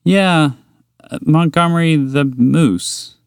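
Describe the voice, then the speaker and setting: A man voicing a big, gentle, slow-witted moose in a bedtime story, hesitant and bashful. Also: a little high, creaky, clean tone, no echo, clean studio sound, voice pushed up. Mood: unsure. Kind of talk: storybook character voice.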